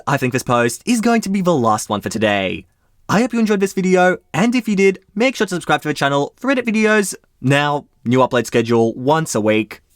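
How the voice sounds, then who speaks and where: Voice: mid-range voice, dry recording, studio quality. The host of a Reddit story channel, reading the posts aloud and adding playful, wry commentary.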